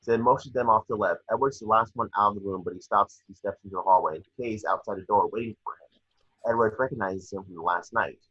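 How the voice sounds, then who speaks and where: Low, husky man reading screenplay directions. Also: fast talker, fairly bright tone, highs cut off. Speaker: reader of action descriptions.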